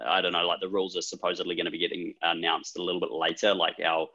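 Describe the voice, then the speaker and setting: A New Zealand man with a very low voice hosts a relaxed podcast chat. He reasons aloud and circles his points, filling the gaps with "um" and "kind of." The call audio sounds dull and lo-fi. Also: fast. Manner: thoughtful, rambling with fillers. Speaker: podcast host. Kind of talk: casual conversational podcast.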